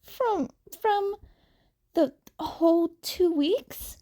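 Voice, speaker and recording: A woman voicing a nervous teenage boy vigilante in a story, halting and stammering as he talks. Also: extremely high pitch, warm tone, pitched above the performer's own voice, full character voice. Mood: anxious questioning.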